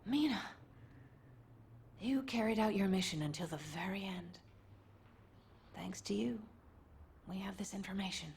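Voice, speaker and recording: A woman voicing a serious, analytical female investigator in a video game, earnest and measured in her delivery. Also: mid-range voice, creaky, a little mellow, slightly rough, full character voice. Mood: tender, grateful.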